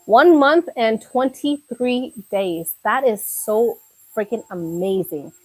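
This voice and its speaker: A young woman from Thailand talks casually on a live stream, reading her viewers' messages aloud and answering them with lots of fillers and repeated words. Her voice sits a little high, and the sound is close and dry with no room echo. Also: slow, a little mellow, breathy edge. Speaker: YouTuber reading viewer chat.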